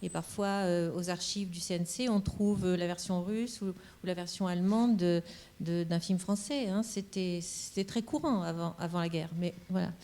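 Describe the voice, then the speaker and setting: Female panelist, low and mellow, explanatory with hesitations. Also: fairly even intonation, moderate pace. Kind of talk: panel discussion. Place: conference hall.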